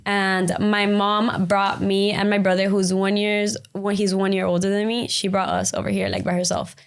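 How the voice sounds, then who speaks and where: A woman's voice: mid-range voice, little pitch movement, normal speed, clean tone. Chatty young woman from Cuba. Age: 20s. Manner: chatty, lots of "like" fillers. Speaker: podcast guest, influencer. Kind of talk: casual chat.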